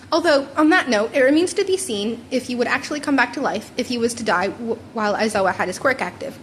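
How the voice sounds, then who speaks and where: A woman's voice: high-pitched, slight background noise. A woman reading fan fiction aloud in a wry, informal way, often stumbling and repeating words. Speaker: podfic reader narrating fan fiction. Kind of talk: scripted narration read aloud.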